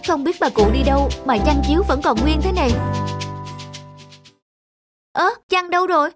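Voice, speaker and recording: A woman voicing a kind, earnest young peasant wife in an animated folk tale, with a gentle manner. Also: high voice, moderate pace, over loud music, lightly acted. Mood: astonished.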